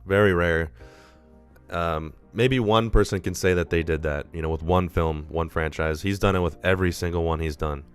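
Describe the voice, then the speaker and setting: Deep-voiced man streaming casual movie talk. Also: fairly even intonation, normal speed, smooth, faint music behind. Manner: rambling, casual, swears freely. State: sick, a little nasal. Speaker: movie review host. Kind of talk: casual live-stream commentary.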